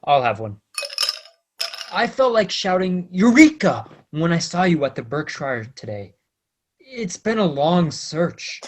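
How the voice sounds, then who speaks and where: An actor playing a smug, menacing small-time blackmailer in an old-time radio crime drama, talking in taunting slang. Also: male voice, fairly high pitch, big pitch swings, a little slow.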